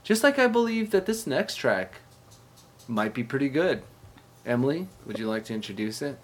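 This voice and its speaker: Playful male podcast co-host, medium pitch. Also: big pitch swings, normal speed. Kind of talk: casual chat.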